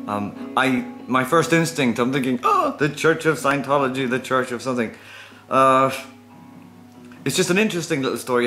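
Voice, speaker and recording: A man's voice: mid-range voice, pushed and bright. A middle-aged man chatting casually to camera at home, hesitant and thinking aloud. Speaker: vlogger. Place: small room at home.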